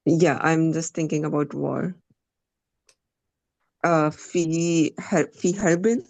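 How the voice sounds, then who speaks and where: A woman student on a video call, halting and searching for words with many pauses. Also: deep voice, fairly even intonation, vocal fry, darkish tone, no room sound. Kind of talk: online class answers.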